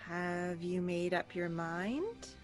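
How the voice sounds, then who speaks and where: A woman's voice: on the low side, expressive, slow, faint music behind. An actress playing a teasing, direct young small-town woman in a radio drama, with wry, pointed questions.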